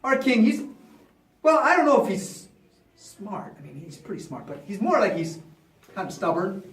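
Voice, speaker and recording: A man playing a boastful, chatty ancient Egyptian chief magician, telling a Bible story in animated, casual modern speech. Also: very high voice, normal speed. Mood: rueful, chatty. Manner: animated, conversational, acting out the other characters. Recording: echoey room, far from the mic.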